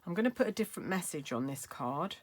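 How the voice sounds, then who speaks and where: A middle-aged woman with a slightly croaky voice, chatting casually as she demonstrates card making at her craft table. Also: lowish voice, lively intonation, fast, slight background noise. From United Kingdom. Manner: chatty, thinking aloud while working.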